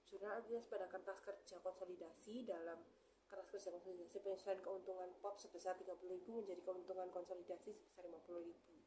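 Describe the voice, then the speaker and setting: Mellow-voiced young woman presenting. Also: medium pitch. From Indonesia. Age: young adult. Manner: halting, stumbles over English terms. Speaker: student presenter. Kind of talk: class presentation, reading accounting slides aloud. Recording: roomy echo, faint background noise.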